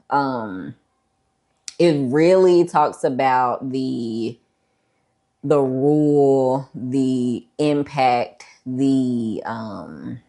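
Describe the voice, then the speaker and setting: A woman's voice: extremely low pitch, a little mellow. A woman talking casually as a book review vlogger, conversational and emphatic, repeating herself to make a point.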